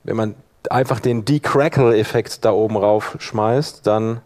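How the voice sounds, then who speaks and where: Guy doing a casual software workshop, kinda thinking out loud as he clicks around. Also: on the low side, a little slow. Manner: colloquial, thinking aloud while working. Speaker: workshop presenter. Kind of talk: informal live software demonstration.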